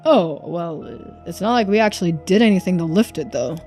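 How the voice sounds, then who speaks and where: A woman's voice: fairly low pitch, lively intonation, brisk, mellow, soft background music, close to an everyday voice. A woman voicing an informal, hesitant and wary male investigator in a video game. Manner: casual, hesitant phrasing. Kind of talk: video game character voice-over.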